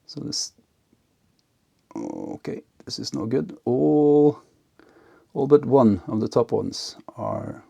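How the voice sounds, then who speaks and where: A man giving casual, unscripted hands-on narration as an electronics hobbyist, halting and half talking to himself as he works. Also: medium pitch, animated, gravelly, dark tone, breathy edge. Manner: halting, muttering to himself while working.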